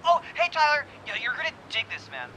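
Man playing excitable stoner guy, high voice, rambling, stammering, noisy phone-in, audio drama. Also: fast, full character voice. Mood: eager, rambling. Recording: noisy call with street ambience behind.

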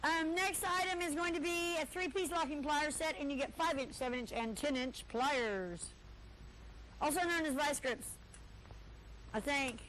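A woman's voice: high-pitched, moderate pace, a bit bright, slight background noise, rough recording. A woman in her thirties hosting a live local TV auction from a cluttered stockroom, showing items one by one and joking with the crew. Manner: chatty, joking asides to the crew. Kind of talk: live cable TV sales patter.

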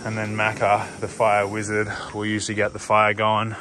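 A man's voice: fairly low pitch, monotone, moderate pace, pushed and bright. A young Australian man vlogging casually to camera while out on a bush hiking trail, upbeat and chatty. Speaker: hiker vlogger. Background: music.

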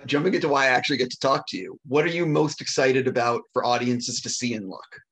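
A man interviewing an actor over a video call, asking quick, friendly questions in casual slang. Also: mid-range voice, a bit bright, slightly rough.

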